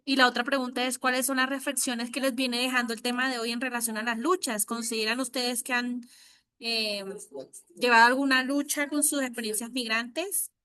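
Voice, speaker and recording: A woman moderating an online workshop over a video call, in a friendly and relaxed way. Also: a little high, moderate pace, dry recording, clean studio sound.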